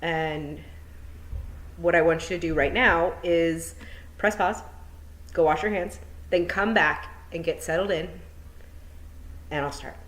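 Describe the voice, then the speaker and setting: A woman's voice: lowish voice, steady tone, takes it slowly, long pauses, fairly bright tone, low-quality recording. A woman teacher at home, chatting with her pupils and then reading a children's novel aloud in a friendly, encouraging way. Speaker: teacher giving a read-aloud. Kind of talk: friendly classroom talk and storybook reading.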